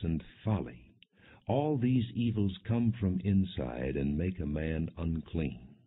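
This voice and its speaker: A man reading scripture as an audiobook narrator in a measured, solemn, clearly articulated way. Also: sits low. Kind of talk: scripted narration, non-dramatized Bible reading. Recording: muffled, narrow-band lo-fi audio.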